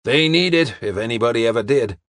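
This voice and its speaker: Man voicing brisk, self-satisfied American policeman. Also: medium pitch, lively intonation, fairly bright tone, no room sound, clean studio sound, natural voice. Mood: hearty, smug. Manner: hearty, slangy.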